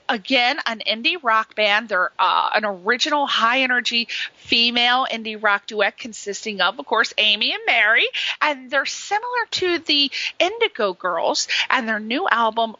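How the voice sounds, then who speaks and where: A woman from Connecticut hosting a radio interview, talking fast and emotionally. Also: on the high side, dry recording, muffled recording. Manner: fast, emotional, animated talker. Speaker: radio host.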